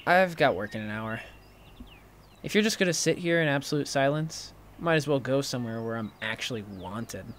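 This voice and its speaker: A male actor plays an inquisitive, impulsive man who gets defensive easily. His delivery is conversational audio drama dialogue at an average pitch. There is clear ambient noise behind him. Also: moderate pace, natural voice. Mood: insistent, peeved. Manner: animated protests, inquisitive, defensive. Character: Wynn, impulsive, curious, defensive male friend.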